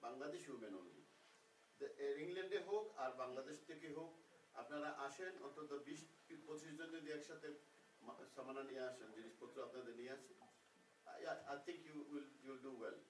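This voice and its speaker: A man's voice: mid-range voice, rapid-fire. A man who owns wedding halls, speaking persuasively and mixing in English phrases as he makes an offer at a networking event. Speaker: wedding hall owner. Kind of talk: casual remarks at a networking event. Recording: roomy hall, distant mic, noisy.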